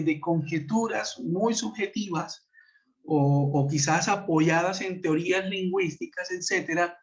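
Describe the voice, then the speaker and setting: A man from Colombia with a Colombian Spanish accent, teaching English in a web conference lesson and explaining with many fillers and tag questions. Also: high voice. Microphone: computer mic on a video call. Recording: muffled lo-fi web call audio.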